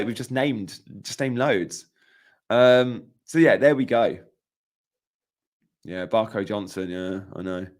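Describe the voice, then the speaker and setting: Streamer, young man, croaky morning voice, casual and emphatic, mid-pitched. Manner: chatty, emphatic, repeats points. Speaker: fantasy football streamer. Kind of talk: live-stream chat reading comments.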